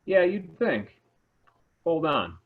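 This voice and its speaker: A man playing an exasperated but game high school teacher in an amateur script read over a video call. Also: sing-song, takes it slowly, husky, heavily acted. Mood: confiding. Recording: tinny phone-like video call.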